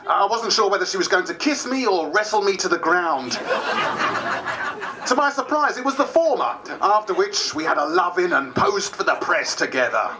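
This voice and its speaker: A man's voice: high voice, very animated, moderate pace, background noise. A man playing a wry, candid diarist who is new to politics, narrating dramatised diary entries. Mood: amused.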